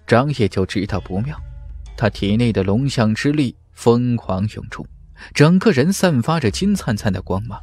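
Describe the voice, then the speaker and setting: Male audiobook narrator, medium pitch, highly animated, dramatic fantasy delivery with music behind. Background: background music.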